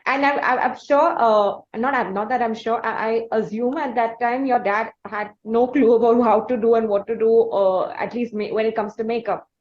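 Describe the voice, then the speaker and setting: Woman interviewer, hesitant and full of fillers, slightly high, echoey and muffled recording. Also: fairly even intonation, normal speed, few pauses, darkish tone. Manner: hesitant phrasing with many uhs and restarts. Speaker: interview host. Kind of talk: interview questions.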